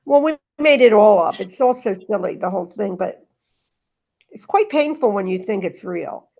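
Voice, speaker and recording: A woman's voice: mid-range voice, lively intonation, normal speed, mellow. A woman talking casually and reading aloud in a spiritual discussion group, in a rambling, emphatic way that circles back on itself.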